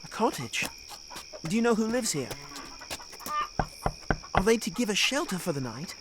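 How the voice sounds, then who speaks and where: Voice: mid-range voice, very animated, moderate pace, natural voice. An actor playing a haughty, weary young royal boy in an audio drama, with formal, precious phrasing. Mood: puzzled, weary. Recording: clearly noisy track with outdoor ambience.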